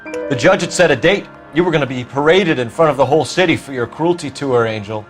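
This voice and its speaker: An actor playing a stern young American police detective in a video game, questioning in a clipped, formal, accusatory way. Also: male voice, medium pitch, fast talker, soft background music, lightly acted. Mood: accusing, stern. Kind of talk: acted dramatic video game dialogue.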